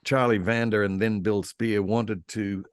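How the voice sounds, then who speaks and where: A man speaking as a guest historian in a casual, informed discussion, with halting, rambling phrasing and repeated words. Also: lowish voice, little pitch movement, clean tone, no room sound, studio quality. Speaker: panel guest, radio historian.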